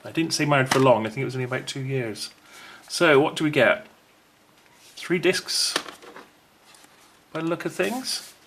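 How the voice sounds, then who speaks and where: A man's voice: mid-range voice, moderate pace, long pauses, background noise. A man presenting an unboxing video in a casual, unscripted way, chatty and rambling as he thinks aloud.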